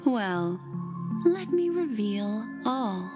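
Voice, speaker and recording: A woman playing a poised, knowing seer of memories in a game, with a theatrical, teasing, deliberate delivery. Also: fairly high pitch, big pitch swings, full character voice. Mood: knowing, mysterious. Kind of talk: scripted game dialogue. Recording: voice under clear background music.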